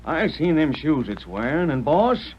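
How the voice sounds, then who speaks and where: An actor playing a flustered, awestruck outlaw ranch hand in an old-time radio Western, with folksy American cowboy speech. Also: male voice, a little high, measured pace. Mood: flustered, awed. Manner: animated folksy cowboy talk. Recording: muffled old radio recording with hiss.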